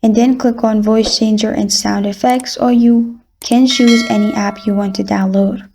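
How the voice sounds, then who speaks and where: A woman narrating a phone app tutorial in a polite, even, scripted voice-over, walking through the steps one by one. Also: on the high side, moderate pace, a little hiss or hum. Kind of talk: scripted step-by-step instructions. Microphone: voice-over over screen recording.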